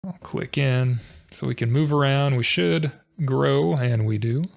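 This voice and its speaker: Monotone man with a gravelly edge. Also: a little slow. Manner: thinking aloud, filler-heavy, low-key. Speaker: programming tutorial host. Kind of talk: casual screen-recorded coding walkthrough.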